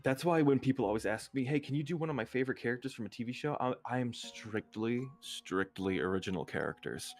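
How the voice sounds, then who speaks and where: A man's voice: medium pitch, fast talker, few pauses, faint music behind. A man talking casually as a guest on a stream, an audio creator chatting with a fellow streamer. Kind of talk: casual chat.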